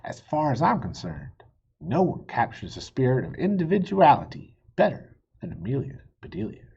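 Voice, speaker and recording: A man voicing a gruff, folksy, plainspoken cowboy type in a children's storybook. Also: medium pitch, wildly swooping pitch, normal speed, darkish tone, muffled recording, heavily acted. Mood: admiring, declaring.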